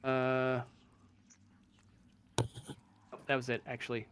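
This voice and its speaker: Slow, halting man running a tabletop game. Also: mid-range voice, monotone, fairly bright tone, slight background noise. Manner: hesitant, halting, thinking aloud. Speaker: game master. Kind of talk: casual explanation during a tabletop roleplaying game.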